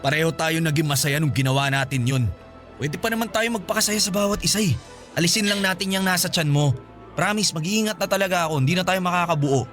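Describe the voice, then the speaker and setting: An actor playing an evasive, selfish young boyfriend in a Filipino radio drama, defensive and insistent. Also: male voice, high voice, fast talker, close to an everyday voice. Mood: agitated, stubborn. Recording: music bed behind the voice.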